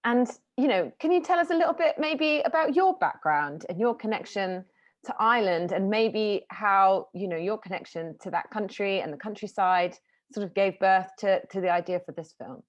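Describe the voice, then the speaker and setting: A woman's voice: mid-range voice, lively intonation, normal speed, dull audio. A woman interviewing a filmmaker over a video call, asking long, winding questions with fillers.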